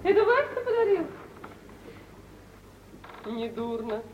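An actress playing a proud, melancholy young daughter, languid and lyrical, in vintage Russian radio drama style. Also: female voice, very high-pitched, big pitch swings, takes it slowly, big-room sound, slight background noise, heavily acted. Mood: cool, listless. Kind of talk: 1940s Russian stage acting for radio. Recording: distant, dull vintage radio play recording.

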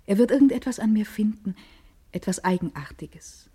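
An actress playing an eager, vain adolescent girl in a literary radio monologue, intimate and expressive. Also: mid-range voice, normal speed, breathy edge. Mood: eager, dreamy. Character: vain, eager adolescent schoolgirl hungry for life.

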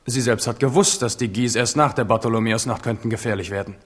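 A man's voice: mid-range voice, fast, natural voice. Man playing a steadfast prince in a reverberant hall. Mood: grave. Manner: earnest, resolute, formal. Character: steadfast, proud royal heir, loyal but frank. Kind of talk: German radio play acting, declamatory.

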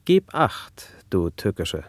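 A scornful young nobleman bent on revenge, in a radio drama. The voice sits fairly low and sounds menacing and commanding. The sound is close and dry, with no room on it. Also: sing-song, slow, no echo, heavily acted. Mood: gloating, vengeful. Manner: haughty, menacing. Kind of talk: classic German radio play acting.

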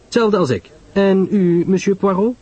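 A man playing an affable, modest English gentleman in an audio drama, with a light, breezy, sociable manner. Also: high-pitched, normal speed, breathy edge, voice pushed up. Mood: breezy, amiable.

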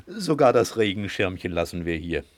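A practical, gently mocking male companion in a radio drama. He has a fairly low voice in a dry recording and talks in a coaxing, teasing way. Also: big pitch swings, normal speed, no echo. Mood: brisk, resolute. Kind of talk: German radio play acting.

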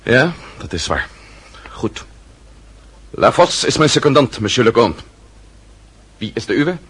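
An actor playing a cool, disdainful French marquis in a costume radio drama, speaking formally and with haughty, measured authority. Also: male voice, medium pitch, very animated, fairly bright tone, husky, heavily acted. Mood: firm.

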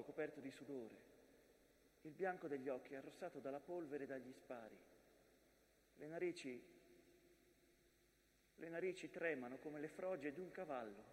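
An actor playing a proud, anguished mountain that witnesses trench war, in a declamatory theatrical monologue. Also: male voice, a little high, big-room sound, slight background noise, natural voice. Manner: declamatory, swelling from grave narration to outbursts.

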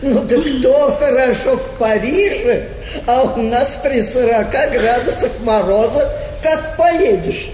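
A commanding, sceptical princess in a period stage comedy. She speaks slowly and curtly, with blunt disapproval. Her voice echoes in a theatre hall. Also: on the high side, a little mellow, slight background noise. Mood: scornful disbelief.

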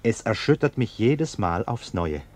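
An actor playing a defensive, sententious middle-aged bourgeois husband in a German radio play, blustering and indignant. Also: male voice, lowish voice, very animated, measured pace, a little hiss or hum. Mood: earnest.

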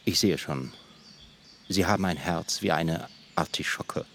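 An actor playing a cheeky waistcoat maker in a radio drama, speaking in a teasing, intimate, flirtatious way. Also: male voice, deep voice, measured pace, gravelly, a bit bright, full character voice.

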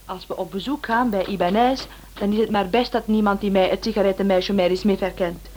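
A woman's voice: mid-range voice, steady tone, brisk, darkish tone, close to an everyday voice. A woman playing a playful, self-assured detective's partner in a radio play, with a teasing manner. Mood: pleased, playful. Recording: noisy old radio play recording.

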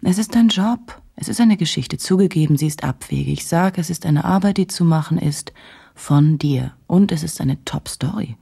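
A low-voiced, brooding woman journalist. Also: creaky, warm tone, husky, exaggerated voice. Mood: brooding, self-questioning. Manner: terse, introspective, deliberate. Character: driven, self-questioning female journalist from Berlin. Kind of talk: radio drama inner monologue.

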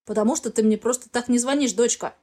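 A woman's voice: on the high side, fast talker, mellow, lightly acted. An insistent middle-aged dad voiced by a woman audiobook reader, worried and a little hurt. He talks abruptly and firmly. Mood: bitter, glad. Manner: abrupt, firm.

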